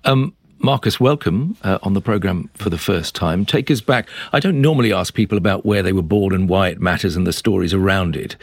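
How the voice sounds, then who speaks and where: A man's voice: deep voice, fairly quick, vocal fry, few pauses, no room sound. A man with a British accent hosting a live radio news-magazine interview. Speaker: radio host.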